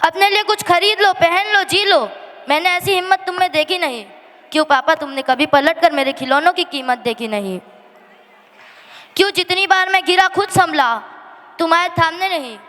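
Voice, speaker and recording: A woman's voice: very high-pitched, normal speed, fairly bright tone. A woman performing a dramatic poetic monologue with a plaintive, emphatic, emotional delivery. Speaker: monologue performer at a school annual day. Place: school annual day stage in a hall. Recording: echoing hall with long reverb.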